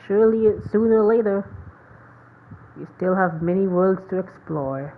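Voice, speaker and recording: A woman's voice: mid-range voice, moderate pace. A woman streaming a game with casual commentary, sometimes reading the dialogue aloud. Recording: thin phone-like sound, background noise.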